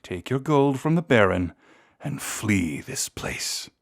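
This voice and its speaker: A man voicing a proud, seductive vampire lord in a scripted audio roleplay, speaking in a theatrical, deliberate and intimate way. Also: medium pitch, big pitch swings, vocal fry, pitched above the performer's own voice, heavily acted. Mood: indignant, commanding. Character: seductive, menacing vampire lord, proud and secretly tender toward lost love.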